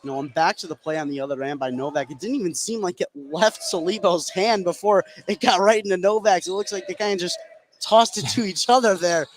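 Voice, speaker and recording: Slightly high-voiced male basketball analyst. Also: brisk. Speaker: color commentator. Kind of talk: conversational basketball game analysis. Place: at courtside in an indoor gymnasium. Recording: live broadcast with faint gym noise.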